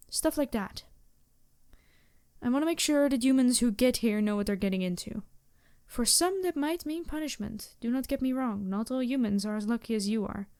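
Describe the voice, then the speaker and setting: A regal demon princess, acted out by a woman in an ASMR roleplay, with a highish voice. She addresses the listener in measured, slightly stilted formal phrases. Her temper is empathetic, with a teasing, flirtatious streak. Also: darkish tone, smooth, natural voice. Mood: gracious, flirty.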